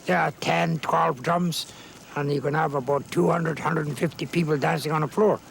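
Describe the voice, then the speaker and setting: A man who emcees and calls square dances at a festival, speaking hoarsely after losing his voice, in an easygoing interview full of "you know". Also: a little high, fairly even intonation, normal speed, slight background noise.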